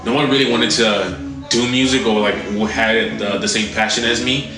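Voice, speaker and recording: A man's voice: on the low side, steady tone, moderate pace. A young man with a South African accent, talking reflectively and rambling with many fillers. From Congolese, raised in South Africa. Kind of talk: reflective interview talk. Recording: distant, echoey clip under loud music.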